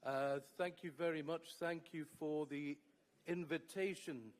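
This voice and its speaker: A Scottish man, a trade union secretary, giving a measured conference speech with some fillers. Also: fairly high pitch, fairly even intonation. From Scotland.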